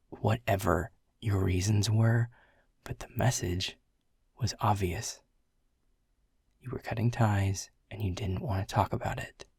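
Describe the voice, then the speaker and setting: A man's voice: on the low side, a little mellow, no room sound, studio quality, exaggerated voice. A man playing a world-weary, prickly male middle manager in a second-person audio roleplay, blunt and sarcastic, swearing often. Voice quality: gravelly, husky.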